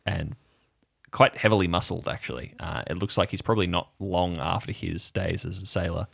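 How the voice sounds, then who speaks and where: A man's voice: low-pitched, steady tone, moderate pace, gravelly, fairly bright tone, husky, dry recording, clean studio sound. A man narrating an improvised audio drama as its game master.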